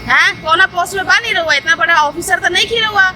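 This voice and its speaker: A woman's voice: very high-pitched, brisk, crowd noise. A woman playing a suspicious, jealous village wife in a comedy phone quarrel, complaining insistently with a Bhojpuri accent. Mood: accusing. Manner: complaining, insistent, repeating herself.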